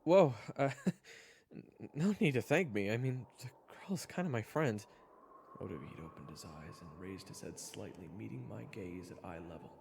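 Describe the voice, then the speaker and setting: A man's voice: on the low side, lively intonation, moderate pace, creaky, a little mellow, slight background noise. A man playing a self-deprecating young swordsman who narrates his own fantasy story, nervous and sometimes stammering. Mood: awkward. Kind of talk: first-person scripted narration and acting.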